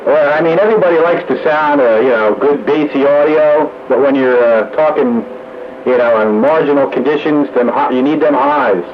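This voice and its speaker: A man's voice: medium pitch, normal speed. A man chatting casually over CB radio in folksy operator lingo, running a check-in net. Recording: muffled, noisy CB radio audio.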